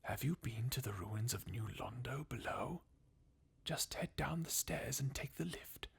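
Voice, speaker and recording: Fan actor as crestfallen undead game warrior: slow, swinging intonation, breathy, bleakly mocking. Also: medium pitch, expressive, exaggerated voice. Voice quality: breathy, husky half-whisper with creak. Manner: sardonic, weary, chuckling bleakly. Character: jaded, mocking undead warrior, sardonic and defeatist. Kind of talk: fan redub of video game dialogue, ASMR-like.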